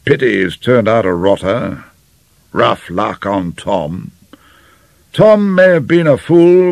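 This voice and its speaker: A man voicing a gruff, suspicious old English general in an audiobook, blustering in clipped fragments. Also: mid-range voice, no echo, voice pushed up, heavily acted. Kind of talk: audiobook character dialogue.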